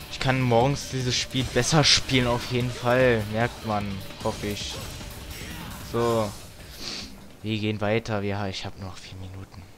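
A man giving casual, grumbling let's play commentary as he games, with some tongue clicking. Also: medium pitch. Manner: grumbling, mild swearing. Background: video game music.

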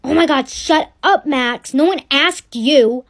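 A woman voicing a moody, quick-tempered pregnant teenage girl in a family comedy skit, snappy and sulky. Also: very high voice. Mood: angry. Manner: snappy and sulky, then panicky.

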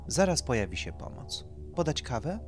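A man's voice: mid-range voice, big pitch swings, moderate pace, soft background music, pitched above the performer's own voice, natural voice. A man voicing a polite female clinic receptionist with rehearsed courtesy in an audio story. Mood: politely rehearsed. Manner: courteous, unconvinced customer-service politeness.

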